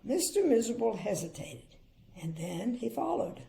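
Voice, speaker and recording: An older woman reads a picture book to children in a mellow, slightly high voice with a creaky edge. She moves between expressive storytelling and casual, opinionated asides, with faint noise in the recording. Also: normal speed. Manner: chatty, gently opinionated commentary. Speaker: grandmother reading bedtime stories.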